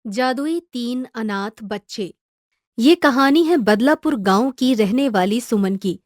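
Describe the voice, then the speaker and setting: A woman's voice: a little high, animated, takes it slowly, dry recording, studio quality. A woman reading a scripted moral story as narrator.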